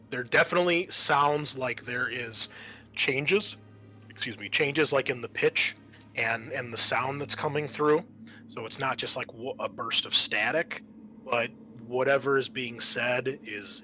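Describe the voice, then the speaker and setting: A man's voice: medium pitch, unhurried, bright, forceful tone, soft background music. A man narrating a tabletop game as game master in a conversational, explanatory way.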